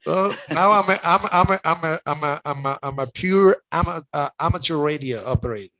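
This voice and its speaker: A man's voice: on the high side, fairly quick, pushed and bright. A middle-aged man with a Cuban accent hosting a casual chat, talking emotionally with many restarts. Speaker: host.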